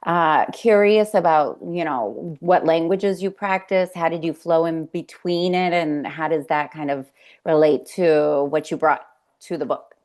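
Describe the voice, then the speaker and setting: A woman hosting an interview over a video call, talking in a halting way with many restarts and slipping into Spanish words. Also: low voice, a little mellow. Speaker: host. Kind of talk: casual interview chat.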